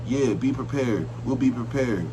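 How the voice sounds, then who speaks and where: Man as a raised-pitch goofy hyena henchman. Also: animated, unhurried, background noise, close to an everyday voice. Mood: mocking. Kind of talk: children's storybook read-aloud.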